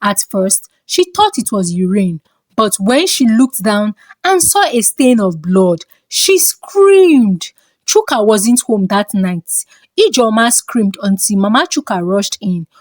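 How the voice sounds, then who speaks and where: A woman with a Nigerian accent reads a scripted folktale in a dramatic, expressive storytelling manner. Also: mid-range voice, slow pace, no room sound.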